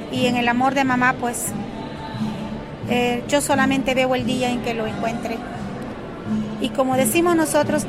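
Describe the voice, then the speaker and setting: Salvadoran lady, 50s, high voice, earnest and halting, loud music under her. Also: poor audio. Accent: Salvadoran Spanish. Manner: earnest, hesitant with many fillers. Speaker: interviewed mother of a missing migrant. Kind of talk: spoken testimony.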